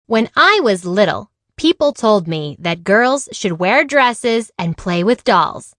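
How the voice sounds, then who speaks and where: A woman reading a children's picture book aloud in an expressive way, acting out the dialogue. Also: medium pitch, takes it slowly, dry recording, studio quality. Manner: animated, acts out dialogue. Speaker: read-aloud storyteller.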